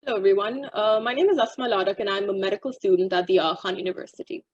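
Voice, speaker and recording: A woman's voice: mid-range voice, steady tone, rapid-fire, darkish tone, no echo, muffled recording. A young woman in her twenties, a medical student, carefully reading a prepared statement.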